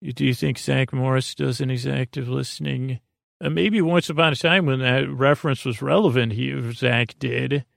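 A man hosting a sleep podcast in a creaky voice, meandering and halting through a silly improvised bedtime ramble. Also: medium pitch, no room sound, clean studio sound.

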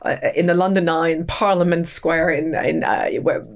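A woman's voice: low voice, steady tone, moderate pace. A woman hosting a podcast interview, chatting enthusiastically with many restarts and asides. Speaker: podcast host and dog yoga teacher. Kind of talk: casual interview chat.

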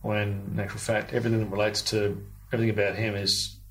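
An Australian man recalling a personal experience in an interview, halting and repeating his phrases. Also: lowish voice, little pitch movement, a bit bright, a little hiss or hum, low-quality recording. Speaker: interview guest, foster father.

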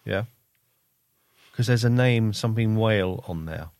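A man's voice: lowish voice, measured pace, darkish tone, no echo, studio quality. A man chatting casually as a podcast co-host who is also a musician. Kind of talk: casual chat.

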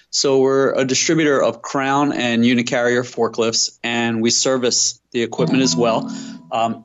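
A man's voice: medium pitch, dull audio. A middle-aged man giving conversational answers as a business guest in an interview. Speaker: interview guest, company president.

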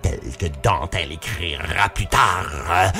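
A man's voice: sits low, takes it slowly, pushed and bright, faint music behind. A man with a Québécois accent, hosting a podcast in a theatrical, declamatory way with dramatic swoops, reciting poetry. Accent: Québécois French. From Quebec. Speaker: podcast host.

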